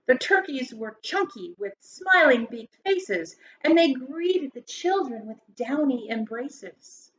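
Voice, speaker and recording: High-voiced woman reading a storybook, roomy echo. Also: big pitch swings, takes it slowly, hardly any pauses, fairly bright tone. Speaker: grade school educator reading aloud to pupils. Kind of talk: expressive children's storybook read-aloud. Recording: roomy echo, dull sound, faint noise.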